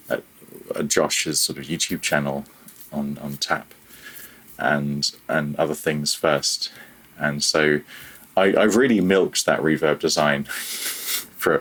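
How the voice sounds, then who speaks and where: A man's voice: very deep voice, fairly bright tone. A man talking casually and self-deprecatingly as a guest in an interview. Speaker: interview guest, audio DSP developer.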